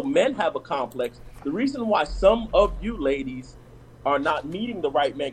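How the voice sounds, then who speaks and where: A man's voice: on the high side, darkish tone, a little hiss or hum, low-quality recording. A man on a discussion panel talking casually and telling personal stories, with lots of restarts and "you know what I'm saying.".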